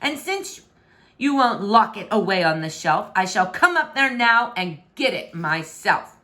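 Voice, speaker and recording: A pompous, fussy storybook king played by a woman, theatrical and quick to scold. The pitch leaps up and down with every emphatic phrase, and the tone is bright and forceful. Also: sing-song. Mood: exasperated. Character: pompous, fussy, indignant middle-aged king and father. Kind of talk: expressive children's storybook read-aloud.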